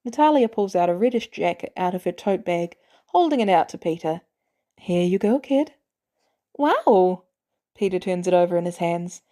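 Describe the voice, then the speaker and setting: A woman's voice: fairly low pitch, a little fast, dark tone. A woman reading fiction aloud as a narrator, in scripted story narration. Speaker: audiobook-style fiction reader.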